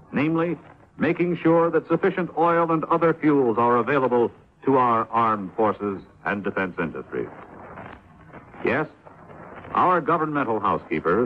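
Animated American male radio announcer. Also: mid-range voice. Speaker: announcer of a public information talk. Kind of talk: scripted 1950s radio narration. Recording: dull 1950s radio broadcast, faint noise.

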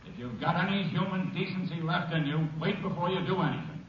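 An American actor playing a stern police captain calling out commands in a 1950s radio drama. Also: male voice, sits high, a little fast, lo-fi sound, lightly acted. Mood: stern, commanding. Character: stern police captain shouting orders from outside. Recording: distant, echoey, noisy old radio.

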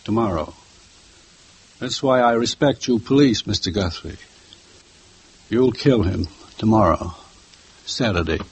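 Man playing a grieving, quietly fixated father, low-voiced. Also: natural voice. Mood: grimly pleased. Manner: halting, repetitive, politely intense. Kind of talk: 1950s radio crime drama acting. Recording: hissy old 1950s radio recording.

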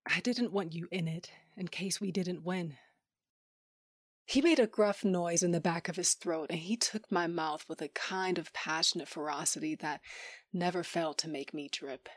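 A woman's voice: lowish voice, normal speed, no room sound, clean studio sound, close to an everyday voice. A woman playing a tough, sardonic young fighter who tells her own story intimately in an audio drama, sometimes dropping to a whisper. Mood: intimate, wry. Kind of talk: first-person audio drama narration.

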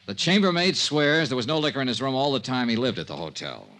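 An actor playing a shrewd, persistent insurance investigator in a 1950s radio drama, probing and wry. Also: male voice, medium pitch, lively intonation, quick pace, a bit bright, close to an everyday voice. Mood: insistent.

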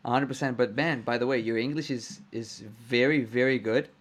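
A man hosting a podcast, chatting casually and warmly echoing his guest's words back. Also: mid-range voice, steady tone, a little fast. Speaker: podcast host. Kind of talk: casual interview chat.

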